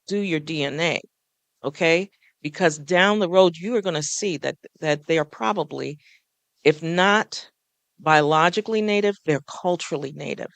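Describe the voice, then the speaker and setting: Folklorist guy on a panel, a bit high and gravelly, earnest, keeps asking the crowd stuff, dry close mic. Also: fairly bright tone, no echo. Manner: earnest, coaxing, asks the audience questions. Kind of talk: panel talk addressing a live audience. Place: stage of a small music café, live audience.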